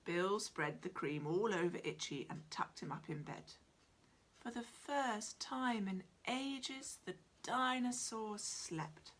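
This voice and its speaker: A woman in her forties narrating her children's picture book in a dramatic, deliberate, expressive style, indoors at home. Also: medium pitch, slight background noise. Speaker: author reading own picture book. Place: small cluttered room at home, indoors.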